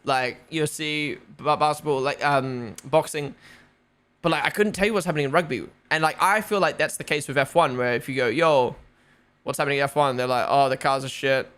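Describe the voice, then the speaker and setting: A man's voice: fairly high pitch, steady tone, a little fast, a bit bright, clear, resonant tone. A young man with a New Zealand accent, rambling casually as a live stream host, full of fillers and swearing. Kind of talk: casual live watch-along chat.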